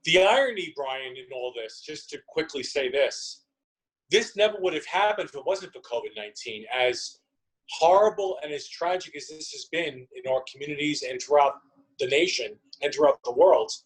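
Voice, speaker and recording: A man's voice: on the high side, lively intonation, normal speed, breathy edge, low-quality recording. A man giving earnest, conversational answers as an interview guest, repeating words for emphasis. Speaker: interview guest, theatre company co-founder.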